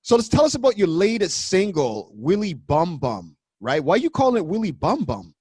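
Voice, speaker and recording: A man's voice: sits high, huge pitch swings, moderate pace, darkish tone, slightly rough, no echo. A man hosting a radio show interview, talking casually and often tagging his lines with "you know what I mean". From Canada.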